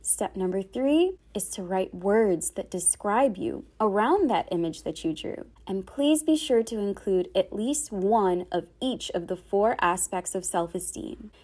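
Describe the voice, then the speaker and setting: A woman storyteller reading a picture book aloud to children, with expressive, dramatic phrasing and careful enunciation. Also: mid-range voice, measured pace, hardly any pauses.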